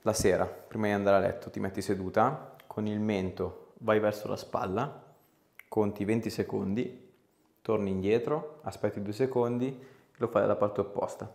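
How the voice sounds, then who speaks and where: A man in his thirties working as a chiropractor, giving calm, casual, reassuring instructions and short questions to a patient. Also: on the low side, moderate pace, vocal fry. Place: small clinic treatment room.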